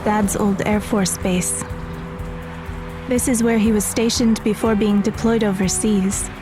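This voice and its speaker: A woman's voice: medium pitch, steady tone, measured pace, natural voice. Woman acting intense, brooding teenage girl over music. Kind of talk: audio drama acting. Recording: music behind the voice.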